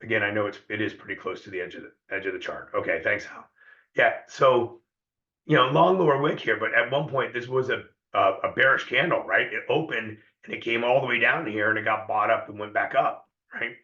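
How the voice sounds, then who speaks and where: Hoarse guy walking viewers through a chart, bright edgy tone, echoey lo-fi audio. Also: fairly low pitch, fairly quick. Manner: conversational, repeats himself and checks in with viewers. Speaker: trading educator. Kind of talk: live trading lesson.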